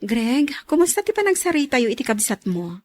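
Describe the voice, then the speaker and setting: A woman with an Ilocano accent playing a calm, sensible confidante in a radio drama. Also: on the high side, brisk. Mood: concerned.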